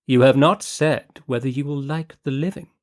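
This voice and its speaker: Guy putting on a fluttery posh lady voice, way higher than his own, all gush and flutter. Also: normal speed, lightly acted. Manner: effusive, flighty, theatrical. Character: gushing aristocratic lady, a scheming mother. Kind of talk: audiobook character reading.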